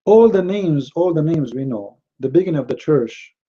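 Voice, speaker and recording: Arabic-accented man, indignant rebuttal, raised pitch, muffled lo-fi sound. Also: animated, normal speed, warm tone. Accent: Middle Eastern Arabic. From Middle East. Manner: indignant, repeats words and restarts often. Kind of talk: heated rebuttal to a clip.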